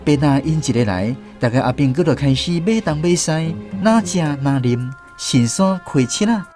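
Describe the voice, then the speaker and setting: Animated Taiwanese man narrating a story. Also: on the high side, normal speed, soft background music. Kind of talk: radio drama storytelling narration.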